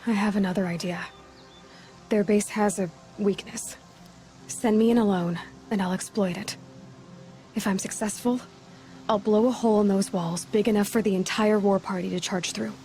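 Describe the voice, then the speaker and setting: A woman's voice: fairly even intonation, moderate pace, warm tone, faint music behind, natural voice. A woman voicing a determined young huntress. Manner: focused, resourceful, speaks low when sneaking. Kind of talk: video game cutscene acting.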